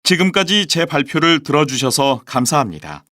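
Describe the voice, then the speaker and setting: A man's voice: a little high, moderate pace, no room sound. Man reading a formal presentation. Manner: formal, even, careful read-aloud delivery. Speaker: language learner giving a class presentation. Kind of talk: scripted textbook listening recording.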